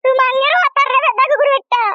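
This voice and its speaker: A woman's voice: very high voice, fairly even intonation, quick pace, dry recording, muffled recording. A woman voicing an indignant, foul-mouthed wife in a comic cartoon. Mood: indignant scolding. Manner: scolding, threatening, heavy rustic swearing.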